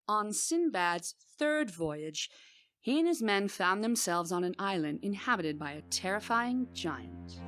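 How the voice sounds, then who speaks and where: A woman playing a storyteller, narrating an adventure tale in a measured, theatrical audio drama style. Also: medium pitch, lively intonation, fairly bright tone. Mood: patient. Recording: faint music behind voice.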